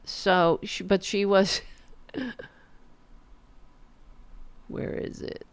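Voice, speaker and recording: A woman's voice: mid-range voice, level tone, extremely slow, clean tone. A woman hosting a casual political livestream, sarcastic and profane, who is losing her voice. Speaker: political commentary host.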